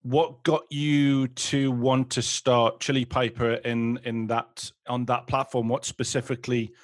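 A man's voice: mid-range voice, level tone, a little slow. A man hosting a podcast, reading a polished, upbeat intro and then interviewing a guest. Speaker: podcast host and performance coach.

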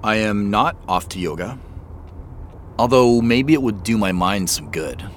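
A soft-spoken younger brother in a naturalistic audio drama. His low voice moves slowly but with lots of rise and fall, hesitant and self-deprecating. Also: lively intonation. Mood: casual, low-key. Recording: faint road noise behind voice. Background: car driving ambience.

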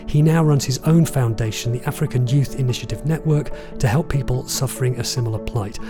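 Male podcast host, mid-pitch, composed delivery, music bed underneath. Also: brisk. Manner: clear, composed presenter delivery. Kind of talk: scripted podcast narration and interviewing.

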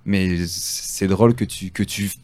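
A man's voice: sits low, fairly even intonation, takes it slowly, a little mellow, no echo. A man from France with a slight accent from there, chatting casually as a podcast interviewer. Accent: French from France, slight. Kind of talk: casual conversational chat.

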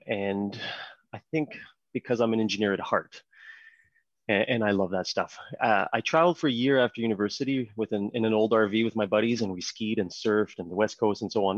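A French Canadian man in his thirties, French being his first language, talks through his career as a podcast guest in a fairly low voice. He rambles easily, with ums and restarts. The audio sounds dull and dry, like a remote call. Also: no echo. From Montreal, Canada. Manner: relaxed storytelling with frequent ums and restarts. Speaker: podcast guest, company co-founder. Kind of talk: casual interview talk.

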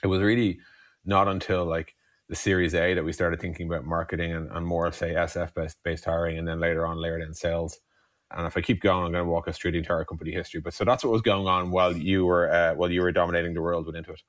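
A low-voiced Irish man talks quickly in a casual, conversational way. He tells founder stories with frequent digressions, leaning on "like" and "you know". The sound is dry and close, with little room. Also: level tone, fairly bright tone, dry recording. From Ireland. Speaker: startup co-founder being interviewed.

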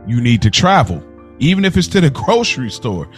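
A man's voice: mid-range voice, sing-song, a little fast, soft background music. A man co-hosting a podcast, chatting casually and reflectively in a conversational way. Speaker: podcast co-host. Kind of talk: casual chat.

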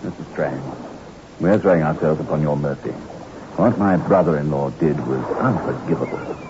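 An actor playing an earnest, formal and persuasive doctor in a 1960s radio drama. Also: male voice, rumbling low, moderate pace. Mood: grave, pleading. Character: earnest, persuasive male country doctor with a secret. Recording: muffled, noisy old radio recording.

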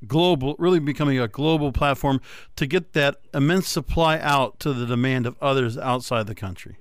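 A man's voice: mid-range voice, moderate pace, no echo, studio quality. A man hosting a podcast interview in a conversational style, putting long, wordy questions to his guest. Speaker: podcast host.

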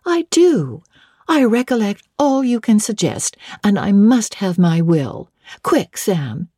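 Woman playing haughty young aristocrat, high imperious voice. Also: very animated, slow, mellow, slightly rough, no room sound, studio quality, heavily acted. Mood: haughty, wilful. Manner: haughty, commanding.

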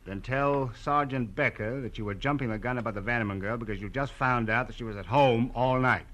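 Guy doing smug slangy american crooked private eye, forceful, old radio drama, slight hiss. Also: medium pitch, a little fast, natural voice. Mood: brisk, sly. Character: scheming, cynical male private detective, streetwise chiseler. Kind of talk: 1940s radio crime drama acting. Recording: noisy vintage radio recording.

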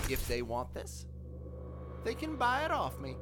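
An actor playing Neil, an eager young worker who stammers and gets excited in an audio drama. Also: male voice, sits high, a little slow, very noisy, full character voice. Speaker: field worker.

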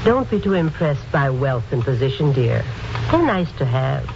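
An actress playing a worried, loving middle-aged mother in a 1940s radio drama, earnest and gently admonishing. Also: very deep voice, very animated, normal speed, creaky, exaggerated voice. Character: worried, wise, loving mother of modest means. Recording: noisy, dull 1940s radio recording.

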